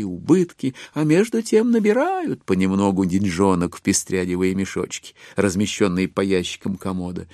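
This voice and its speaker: An actor as the wry storyteller of a classic novel, giving expressive literary narration in an audio drama. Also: male voice, on the low side, no echo, studio quality.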